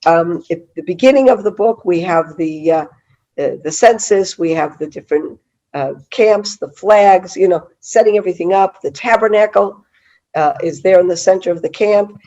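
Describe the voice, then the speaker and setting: A middle-aged woman with a cold-hoarse voice teaching a Bible study over a video call, chatty and full of fillers and restarts. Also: lowish voice, normal speed, warm tone, dull audio. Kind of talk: online Bible class lecture.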